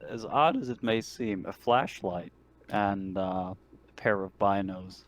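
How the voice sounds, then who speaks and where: A man's voice: on the low side, takes it slowly. A man chatting casually as a player in an online tabletop game. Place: online voice call.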